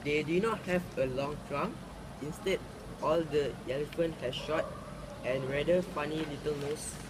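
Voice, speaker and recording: Slightly high-voiced man reading a tale. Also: moderate pace. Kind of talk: reading a folk tale aloud. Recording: clearly noisy recording with ambient sound.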